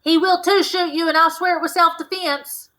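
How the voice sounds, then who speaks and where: A woman's voice: very high voice, steady tone, moderate pace, sharp tone, voice pushed up. A woman voicing a brave, defiant young girl in a read-aloud novel, urgent in manner.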